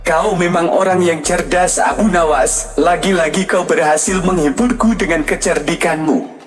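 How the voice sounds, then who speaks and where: A man's voice: a little high, normal speed, pitched above the performer's own voice, natural voice. A man voicing an amused, appreciative king with a regal, approving manner in a storybook tale. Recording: long reverb, music behind.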